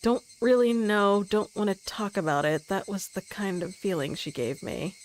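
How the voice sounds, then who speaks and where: A woman's voice: medium pitch, animated, normal speed, slight background noise, rough recording. A woman streaming a visual novel, reading narration aloud with giggly, amused asides. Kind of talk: live game stream with read-aloud narration and reactions.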